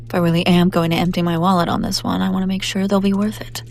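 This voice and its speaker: A woman playing a haughty, wealthy demon buyer in a scripted audio roleplay, condescending and teasing with drawn-out theatrical delivery. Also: on the low side, little pitch movement, vocal fry, darkish tone. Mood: cool, businesslike.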